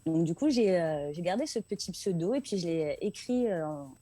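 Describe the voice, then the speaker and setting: Female interview guest from France, conversational and talkative, slightly low register with a distinctly husky grain. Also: animated, normal speed, warm tone, no echo. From France, Paris region. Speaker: guest singer being interviewed. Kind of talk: casual interview chat.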